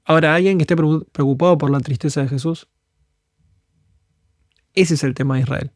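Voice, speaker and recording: Fervent Argentine man with a higher voice. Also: fairly quick, no echo, clean studio sound. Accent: Argentine Spanish. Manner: earnest and fervent, acts out prayers as quotes. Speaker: guest speaker. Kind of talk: conversational preaching on a podcast.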